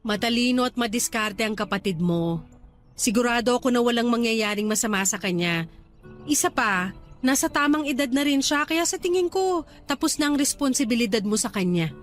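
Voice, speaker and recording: An actress playing a supportive young Filipina girlfriend in a radio drama, speaking gently and conversationally to reassure her partner. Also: a little high, lively intonation, fairly quick, faint music behind, lightly acted. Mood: reassuring.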